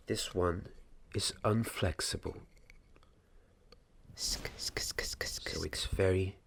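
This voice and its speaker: A young man doing soft-spoken, half-whispered ASMR roleplay as a gentle wand maker's apprentice, speaking in a hushed, deliberate, halting way close to the microphone. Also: low voice, fairly even intonation, vocal fry. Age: young adult. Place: small candlelit indoor set.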